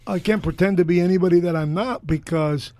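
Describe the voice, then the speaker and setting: An older man with a hoarse voice, co-hosting a casual political chat in a gregarious, bombastic, argumentative way. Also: high voice, a little fast, dark tone, no room sound. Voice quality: hoarse, gravelly voice. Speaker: podcast co-host.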